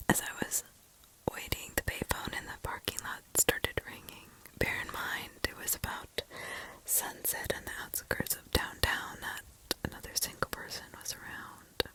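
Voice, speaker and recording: A woman reads scary stories in a hushed, breathy ASMR whisper with deliberate pauses, close to the microphone. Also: poor audio. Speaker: ASMR host. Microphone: close ASMR microphone, ear to ear.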